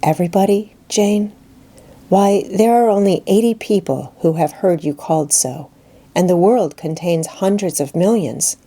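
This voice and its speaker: A woman voicing a calm, pious schoolgirl friend in a storybook reading, measured, earnest and gently reproving. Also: lowish voice. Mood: calm, reassuring. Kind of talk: dramatised audiobook reading.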